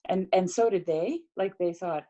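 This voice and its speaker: A woman's voice: on the low side, normal speed, dark tone, highs cut off. A middle-aged woman from Canada in casual conversation, talking reflectively with many fillers and restarts. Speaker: podcast guest.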